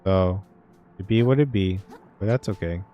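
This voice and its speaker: A young man with a somewhat low voice chats casually on a game stream, wandering through his stories with plenty of fillers and restarts. Soft game music plays faintly behind him. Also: normal speed, lo-fi sound. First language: Vietnamese. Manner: rambling, filler-heavy storytelling. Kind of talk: casual gaming stream chat.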